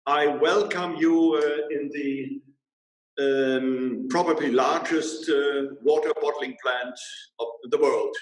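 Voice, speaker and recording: German-accented older man, echoey video call. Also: a little high. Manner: measured, with frequent ums and uhs. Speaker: guest, water brand owner. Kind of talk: casual interview answers. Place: bright room indoors. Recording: roomy echo.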